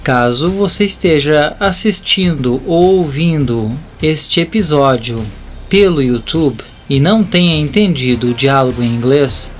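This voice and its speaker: A man's voice: fairly high pitch, animated, measured pace. A man with a Brazilian accent reading scripted narration for an audio drama. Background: music.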